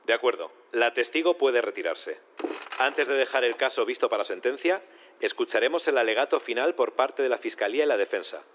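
An actor playing a businesslike judge in a sci-fi audio drama, measured and official. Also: male voice, mid-range voice, expressive, dull audio, a little hiss or hum, lightly acted. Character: formal, businesslike male judge in a sci-fi court.

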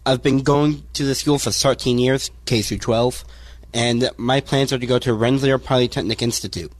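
An eighteen-year-old man, a graduating student guest, answering in a measured, thoughtful way. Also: mid-range voice, fairly even intonation.

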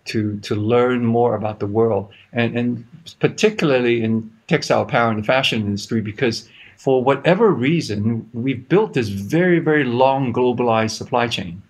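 Halting man with American accent. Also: mid-range voice, husky. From Hong Kong. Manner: halting, many restarts and repeated words, self-deprecating. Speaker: guest, research institute CEO. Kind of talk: conversational interview answers.

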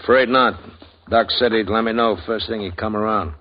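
Guy doing a low gruff sheriff, short answers, old radio sound. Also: fairly even intonation, moderate pace, a little hiss or hum, lightly acted. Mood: guarded. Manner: terse, guarded. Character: curt evasive male frontier sheriff. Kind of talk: 1950s radio drama acting. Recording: dull old radio broadcast.

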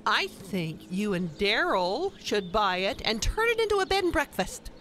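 A woman playing an opinionated, folksy older wife who briskly meddles, in a radio play. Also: medium pitch, sing-song, a bit bright, slight background noise. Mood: enthusiastic.

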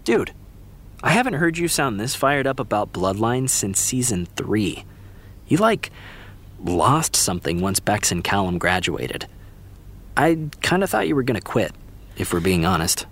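A man's voice: on the low side, sing-song, unhurried, fairly bright tone, full character voice. A young American man acting as a laid-back, supportive friend in a fiction podcast, with casual, teasing, slangy delivery. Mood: frank, supportive. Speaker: voice actor. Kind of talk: naturalistic fiction podcast dialogue.

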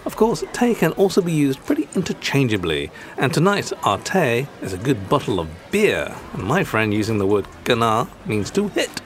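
A man's voice: mid-range voice, wildly swooping pitch, normal speed, few pauses, soft background music. A man hosting a podcast in scripted narration, explaining things in articulate, rather formal phrasing. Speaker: podcast host.

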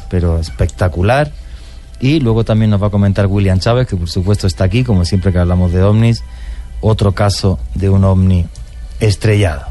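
A man hosting a radio talk show about mysteries, talking with animated enthusiasm. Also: fairly low pitch. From born outside Colombia.

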